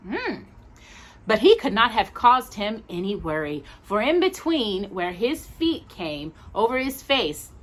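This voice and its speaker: A woman reads a children's storybook aloud in a bright, very animated voice. She takes her time with an unhurried pace, adding expressive, cooing asides for young listeners as a storytime host. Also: medium pitch.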